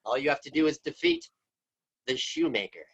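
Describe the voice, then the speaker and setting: Dude playing a sly wolf villain, kinda high, smooth talker, muffled dull sound. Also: male voice, huge pitch swings, normal speed, a bit bright, full character voice. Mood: persuasive. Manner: persuasive and wheedling. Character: smooth-talking, scheming male wolf villain. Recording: dull muffled stage recording.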